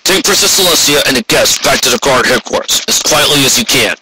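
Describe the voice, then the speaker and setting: A man's voice: mid-range voice, lively intonation, brisk, lightly acted. A man voicing a stern, curt old male pony guard commander in a storybook reading, terse and authoritative, on a noisy recording. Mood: commanding. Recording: clearly noisy amateur recording with clicks.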